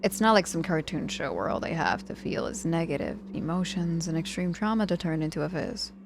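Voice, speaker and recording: Low-voiced, guarded wounded witch played by a woman. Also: expressive, gravelly, noisy background. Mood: quiet, confiding. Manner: halting, sighing, deflecting, grudgingly tender. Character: proud, guarded young witch, hurt in hospital, softening. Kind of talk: ASMR audio roleplay, intimate one-sided dialogue.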